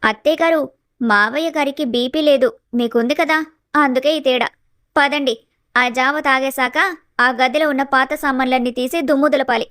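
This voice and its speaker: A woman playing a sly, sweetly bossy young daughter-in-law in an animated family story. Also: high voice, normal speed, dry recording, clean studio sound. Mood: slyly insistent. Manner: mock-sweet, solicitous.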